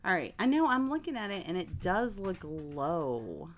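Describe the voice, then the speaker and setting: A woman's voice: on the low side, big pitch swings, normal speed. A woman in her forties with a nasal voice, hosting a casual live sewing stream from her home craft room on a desk mic. She chats in a rambling way and talks straight to her viewers. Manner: chatty, addresses viewers directly, rambling. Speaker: craft livestream host.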